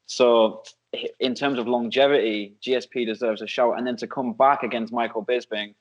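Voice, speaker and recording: A young man lays out his opinions on sport in a fluent, measured way, speaking as a co-host. His voice is medium-pitched. The recording sounds slightly dull and lo-fi. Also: steady tone. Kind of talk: casual sports analysis.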